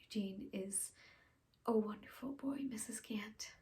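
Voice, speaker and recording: A woman acting an earnest, conflicted young woman in a halting, pleading stage monologue of confession and farewell. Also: mid-range voice, close to an everyday voice. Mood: wistful, confiding. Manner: halting, pleading, with long pauses and hushed phrases.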